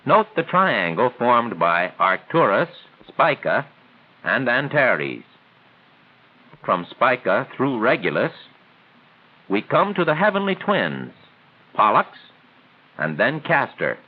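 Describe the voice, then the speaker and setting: 1940s training film narrator, tinny old soundtrack. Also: male voice, mid-range voice, very animated. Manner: formal, didactic, measured phrasing. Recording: thin, band-limited 1940s film soundtrack.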